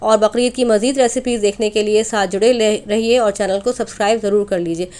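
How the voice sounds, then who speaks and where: A woman's voice: mid-range voice, steady tone, fairly quick, a little mellow. A woman hosting a cooking channel, narrating a recipe step by step in an instructional voice-over addressed straight to viewers.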